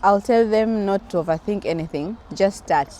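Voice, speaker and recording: A woman's voice: mid-range voice, normal speed, dark tone, a little hiss or hum. Tanzanian woman chatting playfully as a guest. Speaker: interview guest, businesswoman and engineer. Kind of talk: casual chat interview.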